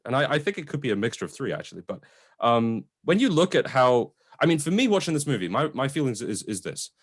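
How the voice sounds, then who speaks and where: A man's voice: mid-range voice, no echo, studio quality. A man co-hosting a casual, opinionated film discussion, hesitantly conceding points as he argues and swearing casually. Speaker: podcast co-host reviewing films.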